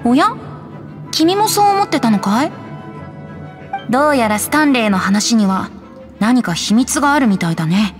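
A woman's voice: fairly high pitch, animated, moderate pace, long pauses, natural voice. A woman voicing a playful, curious, boyish young male bard in an anime game. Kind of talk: Japanese anime game voice acting. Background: faint game music.

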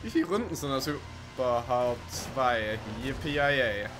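A man's voice: medium pitch, lively intonation, slow pace, music in the background. A man giving casual, rambling, sweary let's play commentary over racing game sounds. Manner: rambling, sweary, joking to himself. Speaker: gaming video commentator.

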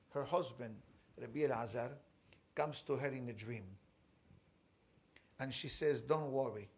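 A man in his forties, a rabbi, telling a story in a Torah class in an emphatic, animated way with pauses. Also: mid-range voice. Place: study room with bookshelves, indoors. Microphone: fixed camera, seated at desk.

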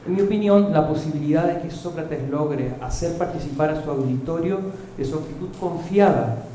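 A man, reading a prepared academic paper in a measured way, on a table mic in a seminar room. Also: a little high, mellow. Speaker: conference speaker. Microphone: table gooseneck mic. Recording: echoey room with faint noise.

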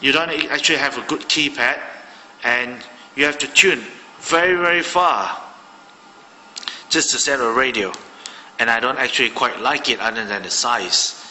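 Bright-voiced man demoing a walkie-talkie. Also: mid-range voice, moderate pace, in a large hall, a little hiss or hum, rough recording. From Malaysia. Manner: rambling, thinking aloud with many fillers. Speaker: hobbyist radio reviewer. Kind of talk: casual hands-on product demo. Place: indoor room at a desk.

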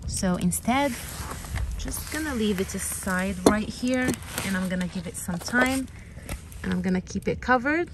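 A woman's voice: mid-range voice, slight background noise, poor audio. A young woman casually talking viewers through cooking a camp meal outdoors, step by step, with her camera a short way off. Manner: chatty, step-by-step narration. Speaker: vlogger. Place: campsite outdoors by a fire.

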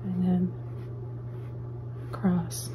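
A woman calmly talking viewers through painting step by step, as a painting teacher in her studio. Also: on the low side, extremely slow, noisy background. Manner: calm, gentle step-by-step instructions. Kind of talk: real-time painting tutorial. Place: indoor studio at an easel.

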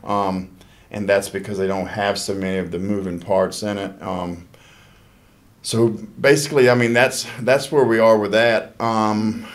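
A man in his thirties with a fairly low voice speaks as an interview guest. His talk is halting, full of ums and restarted phrases. He sits at a desk podcast mic in a small office. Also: fairly even intonation. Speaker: HVAC technician guest. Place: small office studio, indoors.

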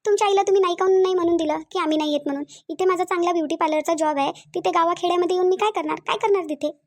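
A woman plays an indignant city wife and mother with a high voice and a Marathi accent of Vidarbha. This cartoon figure is bossy and stubborn, and she argues by firing repeated questions. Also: very fast, darkish tone. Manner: insistent, argumentative, repeats questions. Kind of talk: cartoon dialogue.

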